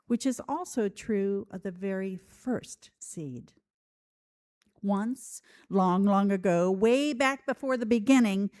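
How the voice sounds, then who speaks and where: Slow-paced woman reading a story aloud. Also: a little high, mellow. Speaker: storyteller at a church service. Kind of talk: read-aloud story for all ages. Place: church service, speaking to a congregation.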